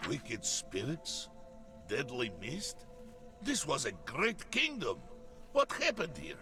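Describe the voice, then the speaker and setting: A man playing a stalwart, big-hearted warrior in a video game, earnest and hopeful, with a gravelly voice. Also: mid-range voice, wildly swooping pitch, takes it slowly, over music. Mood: puzzled. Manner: speaks of himself in third person, earnest. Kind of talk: scripted video game acting.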